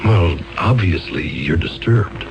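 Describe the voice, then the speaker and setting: A flustered male defense attorney in an old radio play, earnest and pleading, and he stammers when he is thrown. His pitch swings widely as he presses his questions. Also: animated, takes it slowly, creaky, muffled recording, over music, exaggerated voice. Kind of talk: 1970s radio drama acting.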